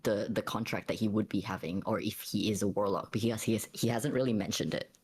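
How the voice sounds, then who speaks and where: A man casually narrating his character's actions in a tabletop roleplaying game, pausing to think as he goes. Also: fairly low pitch, fairly even intonation, a little mellow, husky.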